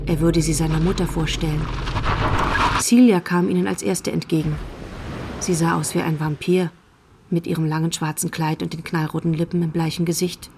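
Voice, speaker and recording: A woman's voice: low-pitched, little pitch movement, normal speed, background noise. A woman narrator reading literary, scripted storytelling for a radio drama.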